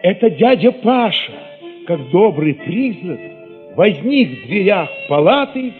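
A man narrating an audio drama in the first person, expressive and solemn, with weighty pauses. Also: high voice, long reverb tail, narrow, tinny audio, soft background music.

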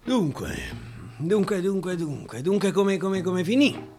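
A man with a Roman accent performing dramatic dialect verse storytelling, with deliberate repetitions and pauses, over guitar. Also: high-pitched, sing-song, darkish tone. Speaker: actor and storyteller. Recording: live guitar behind the voice.

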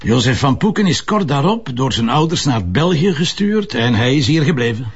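An actor playing a headstrong, defensive police commissioner in a crime drama, with a Flemish accent and a colloquial, insistent way of talking. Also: male voice, mid-range voice, very animated, moderate pace, husky, highs cut off. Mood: matter-of-fact. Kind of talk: naturalistic TV crime drama dialogue.

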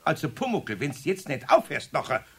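An actor playing a grumbly but kindly older master craftsman with a Bavarian accent in a children's radio play, colloquial and expressive. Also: a little high, moderate pace, gravelly, husky, heavily acted. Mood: indignant.

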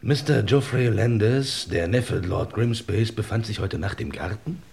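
A probing investigator in an old radio mystery. His voice is low and gravelly, and he questions in a measured way. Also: darkish tone. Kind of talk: vintage German crime radio drama acting.

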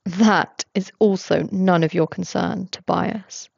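A woman voicing an irritable young man who speaks in a dry, biting monotone. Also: lowish voice, slow, creaky, husky, no echo, muffled recording, heavily acted. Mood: dry, irritated.

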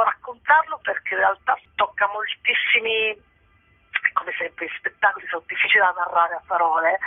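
A woman speaks as an interview guest over a thin, echoey telephone line. Her voice is husky, and she talks spontaneously, hesitating and rephrasing as she looks for the right words. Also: lowish voice. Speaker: theatre company member interviewed about a show. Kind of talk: spontaneous radio phone interview. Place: on a phone call.

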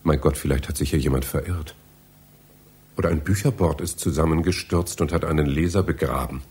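Deep-voiced, pedantic library reader. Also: male voice, a little fast, darkish tone, slight background noise. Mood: muttering, bewildered. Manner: theatrical, pedantic, muttering to himself. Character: fussy, bewildered male library reader, pompous and grumbling. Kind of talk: radio play acting.

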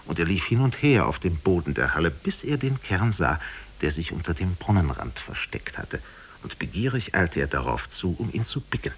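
A man's voice: deep voice, sing-song, normal speed, a little hiss or hum. A man narrating a fairy tale in a solemn, dramatic 1950s radio storytelling style.

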